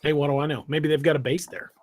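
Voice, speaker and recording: Male podcast host, husky tone on the higher side, casual conversational discussion. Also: level tone, quick pace.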